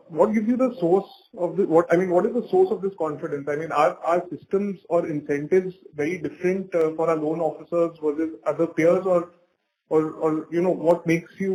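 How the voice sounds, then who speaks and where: A man's voice: on the high side, a little fast, darkish tone. A man asking questions as an analyst on an earnings conference call, over a noisy line.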